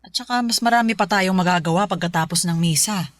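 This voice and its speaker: A woman's voice: lowish voice, fast talker, no room sound, studio quality, close to an everyday voice. A woman playing a devout Filipino mother in a radio drama, chiding and instructing her child in a motherly way. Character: devout scolding mother of a teenage daughter.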